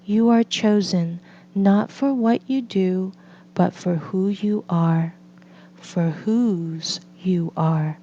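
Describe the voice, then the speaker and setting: A woman narrates a children's picture book at a very slow pace. Her voice is mellow and mid-pitched, with gentle, deliberate phrasing. The recording is slightly dull and lo-fi. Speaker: read-aloud storyteller for children and English learners.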